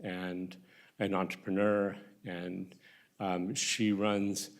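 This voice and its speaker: A man introducing the emcee at a conference in a halting way, with many hesitations. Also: deep voice, level tone, creaky. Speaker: event host introducing the emcee. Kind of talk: stage introduction.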